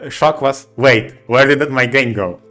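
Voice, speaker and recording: A man in casual podcast chat as a co-host, speaking haltingly and often repeating words as he forms his thoughts. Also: medium pitch, bright, forceful tone, soft background music.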